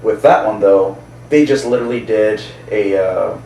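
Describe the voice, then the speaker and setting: A man with a fairly low voice chats as a podcast co-host. He rambles and hunts for words in a casual way. The recording is echoey, with some faint noise. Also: moderate pace, vocal fry, warm tone. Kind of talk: casual chat. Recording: roomy, distant mic, background noise.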